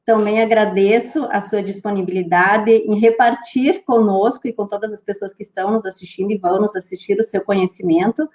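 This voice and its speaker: A woman's voice: medium pitch, warm tone. A woman hosting an online health panel, introducing guests and asking questions with many restarts. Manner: hesitant, with many restarts and fillers. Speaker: panel host.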